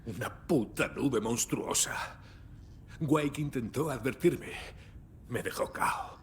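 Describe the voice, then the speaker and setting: A man's voice: takes it slowly, natural voice. An actor playing a wry, world-weary, blunt and stoic lawman in dramatic video game dialogue. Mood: grave. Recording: faint background rumble and noise.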